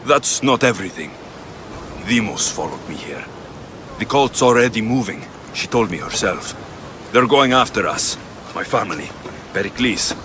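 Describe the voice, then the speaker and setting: An actor playing a blunt, proud young Greek mercenary in a video game, terse and direct. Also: male voice, on the low side, animated, moderate pace, long pauses, background noise, natural voice. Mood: urgent. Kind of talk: dramatic video game acting.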